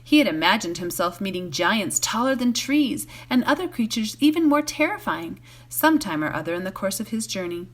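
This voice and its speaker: Female volunteer storyteller, mid-pitched and expressive, with lively melody for bedtime novel narration. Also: normal speed, few pauses.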